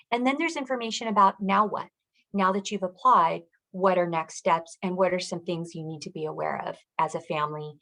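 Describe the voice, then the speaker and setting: A woman with a slightly low voice gives an informational presentation. She explains things step by step, in the instructional manner of a school district director guiding an audience through web pages. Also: a bit bright, low-quality recording.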